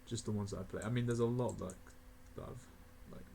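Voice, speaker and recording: A young man of eighteen talks loosely through his rankings, his voice sitting a little low, with frequent chuckles and filler words. A faint hum of the room sits behind him, with the odd mouse click. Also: steady tone, brisk, gravelly, warm tone. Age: young adult (18). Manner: rambling, laughing often, many fillers. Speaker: gaming video creator. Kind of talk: casual tier list commentary.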